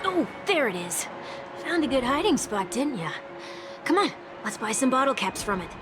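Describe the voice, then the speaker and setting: A woman's voice: high-pitched, sing-song, moderate pace. A young woman voicing a wry, sardonic adventurer in a video game, with a dry, teasing delivery. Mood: curious. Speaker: game character. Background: game background music.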